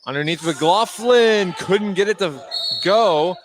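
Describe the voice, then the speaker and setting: Higher-voiced man calling basketball. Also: expressive, slow pace. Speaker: play-by-play announcer. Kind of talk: live basketball radio commentary. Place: indoor high school gym. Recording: faint gym noise, bouncing basketball.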